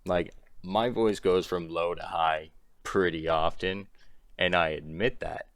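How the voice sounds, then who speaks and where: A young man talks through a rambling, exasperated rant, his very low voice worn rough in the throat. The sound is close and dry with no room around it. He keeps breaking into short laughs between his complaints. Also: fairly even intonation, slow pace, fairly bright tone, no room sound. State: strained throat. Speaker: commentary creator. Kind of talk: ranting commentary.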